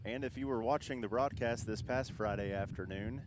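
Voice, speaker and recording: Low-voiced man livestreaming over outdoor noise. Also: moderate pace. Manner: conversational, addresses viewers directly. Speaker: plane-spotting livestream host. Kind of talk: casual live commentary, addressing chat. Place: outdoors near an airport. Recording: noisy outdoor airport ambience with vehicles.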